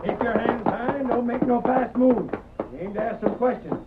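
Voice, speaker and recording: An actor playing a suspicious, stubborn deputy with a folksy rural Western American accent in an old-time radio drama. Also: male voice, extremely high pitch, moderate pace, very noisy. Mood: challenging. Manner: folksy, blustering drawl. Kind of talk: 1930s-40s radio drama acting. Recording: muffled, narrow-band old radio recording.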